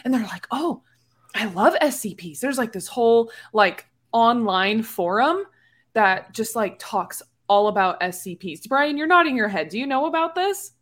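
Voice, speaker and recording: A woman's voice: fairly high pitch, lively intonation, moderate pace, a bit bright. A woman in a casual podcast conversation, talking very informally with lots of "like.". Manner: informal, full of "like".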